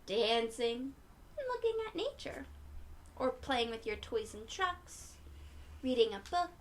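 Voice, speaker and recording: A woman reading a picture book aloud to young children in a gentle, encouraging way, speaking directly to them. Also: on the high side, very animated, unhurried, slight background noise. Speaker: children's read-aloud storyteller.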